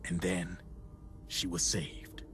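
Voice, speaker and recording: A man's voice: low voice, little pitch movement, slow, over music. A man playing a grave, streetwise storyteller in a video game, telling grim tales in a folksy way. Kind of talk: video game dramatic monologue.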